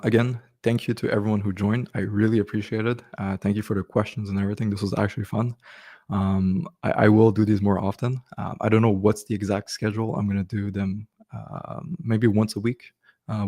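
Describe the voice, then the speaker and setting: Give me a man with a deep, warm voice hosting a casual live Q&A. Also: flat delivery, normal speed. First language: French. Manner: casual, repeats "okay" and "bro", frequent restarts. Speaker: host coaching online business. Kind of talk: live stream Q&A, casual advice.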